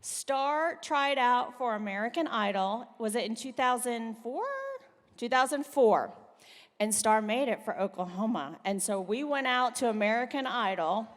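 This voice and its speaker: A woman co-hosting a gala, talking loudly and telling lively stories into a handheld microphone. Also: fairly high pitch, measured pace. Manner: loud, lively, storytelling. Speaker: event co-host. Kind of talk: live emcee banter at a gala. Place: large hall at a gala event. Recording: reverberant hall.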